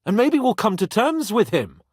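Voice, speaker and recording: A man playing a spoiled, cocky young nobleman in a video game, flippant and theatrical, swinging between whiny and wry, with a husky voice. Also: high voice, very animated, normal speed, no echo, clean studio sound, full character voice. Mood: earnest. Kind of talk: video game character voice acting.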